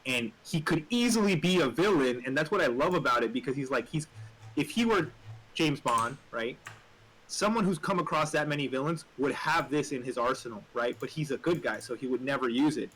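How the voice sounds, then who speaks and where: A man's voice: mid-range voice, animated, brisk, fairly bright tone. Man chatting casually over noisy keyboard clatter. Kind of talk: casual chat, opinionated film talk.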